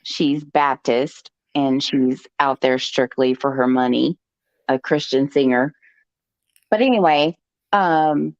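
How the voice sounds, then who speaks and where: A woman host spins a long personal story at an unhurried pace, sprinkling in "okay" and little jokes. Her voice sits a touch low, and it comes through dull, lo-fi stream audio that is dry and close. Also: a little mellow, dry recording. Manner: chatty, joking, frequent "okay" asides. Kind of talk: live-stream storytelling chat.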